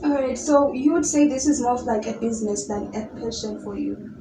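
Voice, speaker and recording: A young woman with a slightly high voice leads an interview and asks curious, friendly questions. Her voice echoes around the room, and background noise runs underneath. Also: brisk. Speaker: interviewer host.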